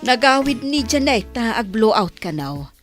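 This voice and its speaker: A woman doing a nagging filipina mom in an audio drama, ilocano accent, high pitched, music playing behind. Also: sing-song, moderate pace. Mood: reproachful.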